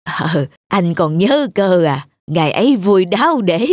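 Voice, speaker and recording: A woman playing a gentle, nostalgic elderly grandmother in a cartoon, speaking in a tender, homely, wistful way. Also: lowish voice, big pitch swings, unhurried, dry recording, clean studio sound. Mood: fond. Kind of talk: animated cartoon dialogue.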